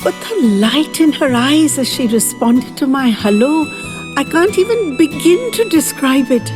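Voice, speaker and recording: A woman reading a first-person love story aloud as a podcast narrator, in an expressive, dramatic way. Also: high-pitched, measured pace, music in the background. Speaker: audiobook narrator. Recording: long reverberant hall.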